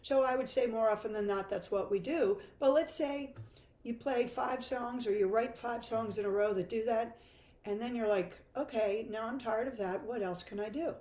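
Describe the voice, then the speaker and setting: Hoarse, chatty older woman. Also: fairly high pitch, moderate pace, mellow, echoey room. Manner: chatty, self-deprecating, laughs easily. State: hoarse from laryngitis. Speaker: guitar teacher. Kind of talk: live-streamed guitar lesson. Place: home music room, indoors.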